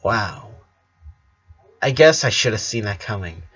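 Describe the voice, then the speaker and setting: A man's voice: fairly low pitch, sing-song, measured pace, a bit bright, slightly rough, exaggerated voice. A caring, casual teenage big sister from a story, read out by a man. The speech is concerned and slightly hesitant. The recording sounds dull and lo-fi. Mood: concerned questioning.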